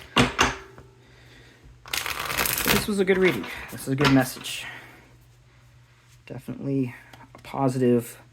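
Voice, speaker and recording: A man's voice: mid-range voice, expressive, a little slow, vocal fry, pauses often, noisy background. A man giving a casual, reflective tarot reading, thinking aloud with a slight sore throat. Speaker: tarot reader.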